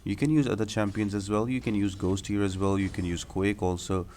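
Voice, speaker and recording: A man's voice: lowish voice, fairly even intonation, fairly quick, a little mellow, a little hiss or hum. A man giving casual, step-by-step game walkthrough commentary in an explanatory, instructional way. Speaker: gaming video creator giving fight tips. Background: music behind the voice.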